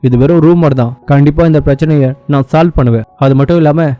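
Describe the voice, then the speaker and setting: A man's voice: fairly high pitch, fast, no echo, lightly acted. A man voicing a vain, proud young actress who is indignant and easily flustered, in a story recap. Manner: indignant, melodramatic, self-questioning. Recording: muffled lo-fi sound with music behind.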